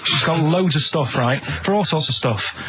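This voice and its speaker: A man's voice: on the high side, moderate pace, sharp tone. A man co-hosting a radio show as its producer, chatting casually and telling rambling, naive anecdotes.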